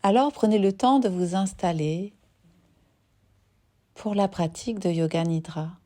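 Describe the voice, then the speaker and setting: Slow, mellow-voiced woman guiding meditation. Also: medium pitch. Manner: soothing, unhurried, with long pauses between short phrases. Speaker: yoga nidra teacher guiding a relaxation. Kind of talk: guided meditation.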